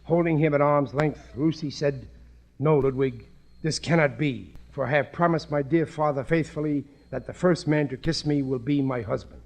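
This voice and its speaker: A blustery working-class family father in a 1950s radio comedy. His voice sits fairly high, and he talks in plain colloquial words with suspicious, slightly dim earnestness. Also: normal speed, vocal fry. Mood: earnest reading aloud.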